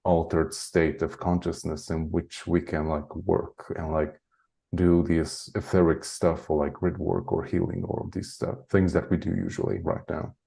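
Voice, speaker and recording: A man with a deep voice, chatting casually as an interview guest, rambling with lots of "like" and frequent chuckles. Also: little pitch movement, unhurried, vocal fry, mellow. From Hungary. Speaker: guest, spiritual channeler.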